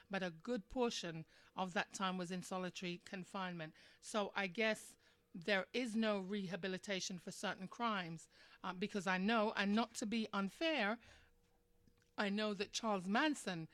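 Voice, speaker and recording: A woman's voice: medium pitch, a bit bright, no echo. A woman hosting a live radio discussion, speaking in a conversational, opinionated way and putting questions to her listeners. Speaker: radio show host.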